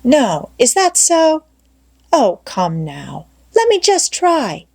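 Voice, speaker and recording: A woman's voice: sits high, huge pitch swings, slow pace, vocal fry, darkish tone, voice pushed up, full character voice. A woman as an eager, pleading boy. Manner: wheedling, insistent country-boy talk. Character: eager, pleading young boy begging for a turn. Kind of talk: audiobook character voice.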